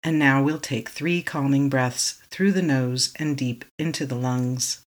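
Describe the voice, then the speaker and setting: Calm, slow meditation guide. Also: medium pitch. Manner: calm, slow, repeating affirmations to be echoed back.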